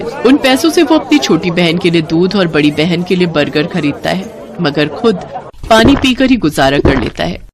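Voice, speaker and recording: A woman narrating a scripted moral story in an expressive, dramatic storytelling manner. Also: fairly low pitch, noisy background.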